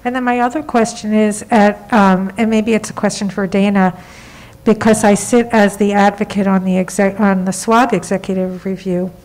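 A woman patient advocate asking measured, inquisitive questions in a meeting. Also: mid-range voice, fairly even intonation, darkish tone, low-quality recording.

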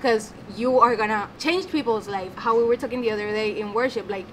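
A woman's voice: fairly high pitch, fairly quick, poor audio. A young woman with a Colombian Spanish accent giving a heartfelt toast at an outdoor poolside party, searching for her words in English, Spanish being her first language. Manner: searching for words, animated hand gestures. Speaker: friend giving a speech. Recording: party crowd noise behind. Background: chatter and laughter of guests.

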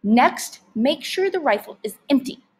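A woman's voice: high voice, expressive, a little slow, voice pushed up. A woman reading the part of a brusque father of few words in a storybook. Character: terse, gruff immigrant father set on a rat hunt.

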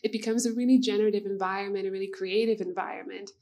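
Flat-voiced young woman opening a panel. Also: mid-range voice, racing. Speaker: moderator. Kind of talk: opening remarks. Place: conference stage in a hall. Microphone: handheld mic.

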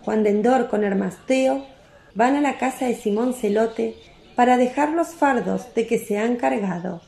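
Lady narrating a bible radio play, solemn and deliberate, soft dark gravelly voice, roomy. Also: on the high side. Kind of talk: scripted narration of religious radio drama.

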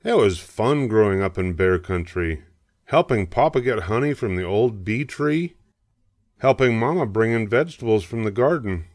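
An older man narrating a children's storybook, reading aloud in a measured, expressive way. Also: fairly low pitch, dry recording, studio quality.

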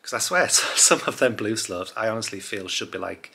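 A man's voice: fairly low pitch, normal speed, vocal fry, sharp tone, slight background noise. A man talking casually and informally as a travel vlogger, with an upbeat, chatty manner. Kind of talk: casual vlog chat.

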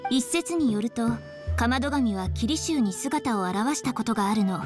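A woman's voice: a little high, expressive, moderate pace, over music, close to an everyday voice. An actress playing a polite, composed young female scholar in an anime game, speaking in an articulate, explanatory way. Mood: polite, earnest.

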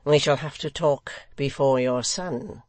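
A man's voice: mid-range voice, a little slow, dry recording, close to an everyday voice. Man playing a sly, pompous blind extortioner. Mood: insinuating. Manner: theatrical, mock-courteous, insistent.

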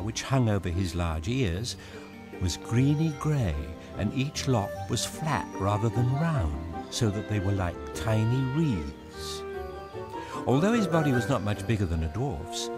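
An actor playing a grave, measured storyteller, narrating an audio drama. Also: male voice, lowish voice. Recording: loud music behind narration.

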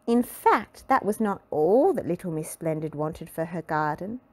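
A woman reading a children's storybook aloud in an expressive, deliberate way. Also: on the low side. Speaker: storybook reader. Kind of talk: scripted children's storybook narration.

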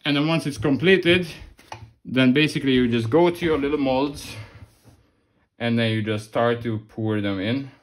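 Relaxed man explaining a craft. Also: medium pitch, moderate pace. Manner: relaxed, loose phrasing with many restarts. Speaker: model-making tutorial host. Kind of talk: casual hands-on tutorial. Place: indoor hobby workspace.

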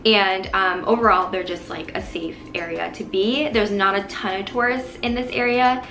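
A woman's voice: medium pitch, fairly even intonation, normal speed, vocal fry, pushed and bright, echoey room, a little hiss or hum, poor audio. A woman in her twenties chatting casually to camera as a vlogger, answering viewer questions with many likes and ums. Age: 20s. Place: small bedroom indoors.

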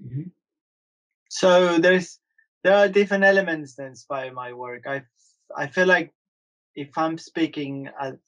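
A man's voice: on the high side, fairly bright tone, low-quality recording. A man in his thirties from Argentina, an artist talking casually and hesitantly in an interview, with many fillers and restarts.

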